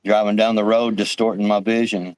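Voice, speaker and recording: A man in his late fifties chatting loosely on a livestream, casual and profane. His voice sits somewhat low. The sound is dry and close, with no room echo. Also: little pitch movement, fairly quick, clean tone. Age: 50s. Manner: easygoing, swears casually. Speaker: livestream co-host.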